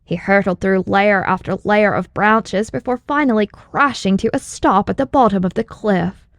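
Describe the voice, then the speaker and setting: A woman's voice: medium pitch, moderate pace, no echo. A woman reading scripted story narration as an audiobook narrator.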